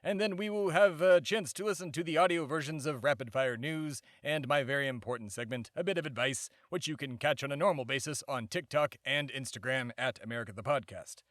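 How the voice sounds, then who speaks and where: A man's voice: medium pitch, fairly quick, hardly any pauses, no echo, studio quality, lightly acted. A man playing a bombastic, self-important immortal embodiment of America in a comedy podcast monologue. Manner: pompous, shouting announcer bursts, rambling asides.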